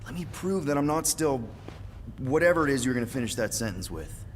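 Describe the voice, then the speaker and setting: A man's voice: mid-range voice, big pitch swings, a little fast, a little hiss or hum, close to an everyday voice. An actor playing a glib, wisecracking male space adventurer in a cinematic video game, given to joking and rambling. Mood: glib, bluffing. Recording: music behind the voice.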